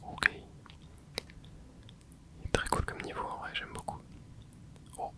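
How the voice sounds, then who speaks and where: A young man hosting an ASMR channel, softly whispering a relaxed running commentary as he plays. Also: unhurried. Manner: soft close whisper, relaxed running reactions. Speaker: ASMR gaming channel host.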